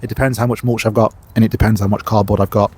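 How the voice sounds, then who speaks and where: A man's voice: fairly low pitch, little pitch movement, very fast, a little mellow, breathy edge. A man hosting a gardening vlog, talking casually outdoors at his allotment, sniffly with a cold and filling his talk with lots of uhs and ums. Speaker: gardening channel host. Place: outdoor allotment by a main road.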